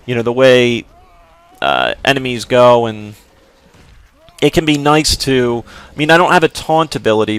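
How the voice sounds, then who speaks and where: A man talking casually over his gameplay, explaining what his abilities do and often restarting his sentences. His voice is smooth and resonant at an ordinary middle pitch. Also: a bit bright. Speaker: gameplay commentator. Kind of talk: casual let's-play commentary.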